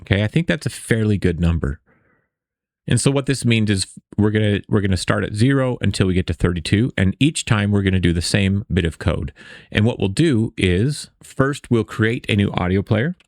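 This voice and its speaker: A man walks through a coding tutorial in a casual, explanatory way. His voice sits fairly low and often crackles with vocal fry. It is recorded close and very dry, with no room sound. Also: lively intonation, normal speed, darkish tone, no room sound. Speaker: tutorial instructor.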